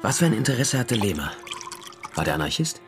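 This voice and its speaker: Low-voiced hard-boiled private detective, music behind. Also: male voice, sing-song, a little fast, a bit bright. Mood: sceptical. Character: hard-boiled male private detective, worldly-wise and dryly curious. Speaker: lead actor and first-person narrator. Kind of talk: German radio crime drama, dialogue and noir narration.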